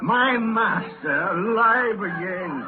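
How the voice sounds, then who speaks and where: On a tinny 1930s radio broadcast with music behind, an old miser quavers with emotion. His voice runs fairly high and swings widely as he takes his time over remorseful, repeated phrases. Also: lively intonation, slow, noisy background. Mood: delighted nostalgia. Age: older. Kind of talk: 1930s radio drama acting.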